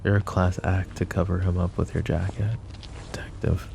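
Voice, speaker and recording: Male VA as a possessive mafia boss, very deep and gravelly, soft intimate delivery, faint music underneath. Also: little pitch movement, moderate pace, noisy background. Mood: protective. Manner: soft, intimate, addresses listener directly. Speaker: ASMR roleplay voice actor. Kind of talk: boyfriend ASMR roleplay.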